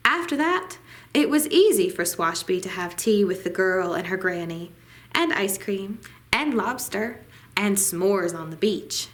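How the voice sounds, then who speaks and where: A woman reading a children's picture book aloud at storytime, with deliberate, dramatic phrasing. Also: medium pitch, expressive, a little hiss or hum. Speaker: library storytime reader.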